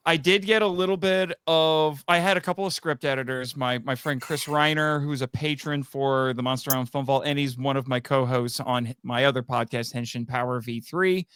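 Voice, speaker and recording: A man talking casually as a podcast guest, telling anecdotes in an animated way and often chuckling as he goes. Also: medium pitch, normal speed, few pauses, smooth, no room sound. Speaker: podcast guest, voice actor and writer.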